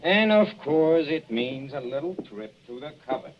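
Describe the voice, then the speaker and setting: An actor playing a fussy, cloying older husband with veiled menace in a 1940s radio drama, coaxing with oily endearments and small chuckles. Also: male voice, a little high, animated, normal speed, lightly acted. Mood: evasive. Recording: dull, noisy old radio recording.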